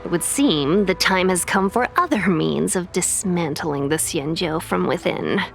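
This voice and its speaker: Slow, poised, coolly haughty anime woman. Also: fairly low pitch, lively intonation, vocal fry. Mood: cold, disdainful. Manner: poised, formal, deliberate. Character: composed, courteous woman, coolly menacing, haughty. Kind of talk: anime game voice acting. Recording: music behind the voice.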